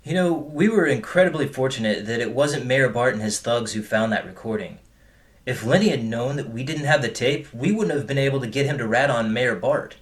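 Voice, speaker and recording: An actor playing a wry, self-deprecating boyfriend with dry humour in audio drama dialogue. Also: male voice, medium pitch, brisk, lightly acted. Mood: wry, relieved.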